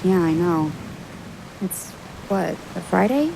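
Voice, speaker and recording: A woman acting in an audio drama as a dry, teasing travel companion with a creaky voice and deadpan delivery. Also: lowish voice, takes it slowly, full character voice. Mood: fond teasing. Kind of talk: audio drama dialogue, naturalistic. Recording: steady sea and wave noise behind.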